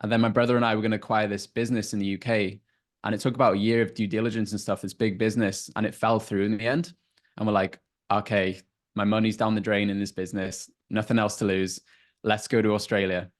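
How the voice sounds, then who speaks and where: A man's voice: lowish voice, level tone, quick pace. A man in his twenties with a North Welsh accent, a guest on a podcast explaining and telling his story plainly. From North Wales. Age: 20s. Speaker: relationship coach, guest. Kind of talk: casual interview answers.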